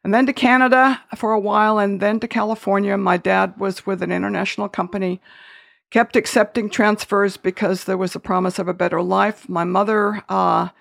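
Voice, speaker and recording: A woman's voice: medium pitch, steady tone, moderate pace, gravelly. A woman in her sixties from Wales, a podcast guest talking through her life story in a chatty, self-correcting way. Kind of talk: conversational interview.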